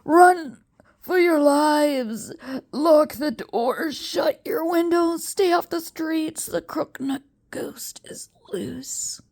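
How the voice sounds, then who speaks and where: A woman voicing a pompous, menacing showman professor who conjures ghosts, with a commanding, drawn-out theatrical delivery in a storybook reading. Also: high voice, darkish tone, voice pushed up, exaggerated voice. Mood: panicked gasping.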